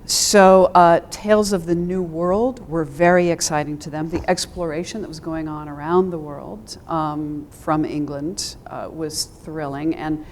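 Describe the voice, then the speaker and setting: A woman with a lowish voice talks as a guest on an arts panel. She is expressive and conversational, choosing her words thoughtfully with some halting. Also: slight background noise. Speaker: theater director, guest panelist.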